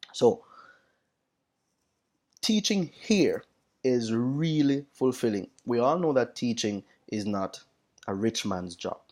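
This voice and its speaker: A man's voice: medium pitch, a little mellow. A man with a Jamaican accent talking casually as a teacher reflecting on life abroad, emphatic and repeating phrases to stress them. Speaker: teacher sharing experiences of working abroad.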